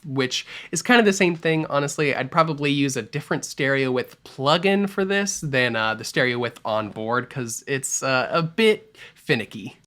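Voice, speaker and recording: A man teaching a music software tutorial in a relaxed, explanatory voice-over, offering mild opinions as he goes. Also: on the high side. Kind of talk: screen-recorded software tutorial. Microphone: voice-over over screen capture.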